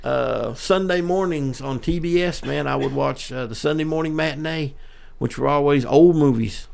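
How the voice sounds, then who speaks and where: A man's voice: on the high side, normal speed, vocal fry, a little mellow. A middle-aged man co-hosting a casual podcast chat, reminiscing in a drawling way. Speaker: podcast co-host.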